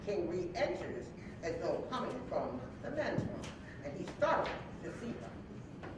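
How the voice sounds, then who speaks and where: A woman's voice: mid-range voice, very animated, measured pace. A woman reading stage directions as scripted narration for a live staged reading, in short descriptive phrases. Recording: very echoey, noisy, distant hall recording.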